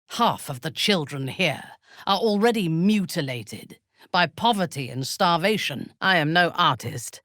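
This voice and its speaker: An actress voicing a haughty, ruthless female warrior zealot in a video game, speaking in a formal, imperious, deliberate way with a husky voice. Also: low voice, a bit bright, no echo, clean studio sound, exaggerated voice. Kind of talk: video game character voice lines.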